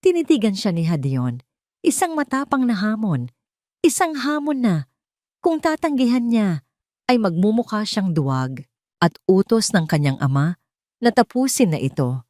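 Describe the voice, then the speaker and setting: A woman's voice: mid-range voice, sing-song, normal speed, pauses often, a little mellow, no room sound, studio quality. A woman with a Filipino accent reading scripted, dramatic romance narration as an audio story narrator.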